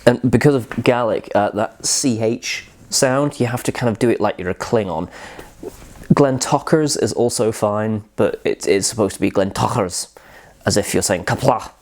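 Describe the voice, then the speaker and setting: A man's voice: lowish voice, normal speed, a little mellow. A man hosting a casual whisky review vlog, chatty and rambling, often poking fun at himself. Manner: chatty, rambling and self-deprecating.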